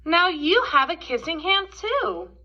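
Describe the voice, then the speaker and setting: A woman's voice: very high-pitched, very animated, a little slow, bright, forceful tone, voice pushed up, exaggerated voice. A woman voicing a curious, affectionate little boy raccoon from a storybook, eager and sweet. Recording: dull, lo-fi sound.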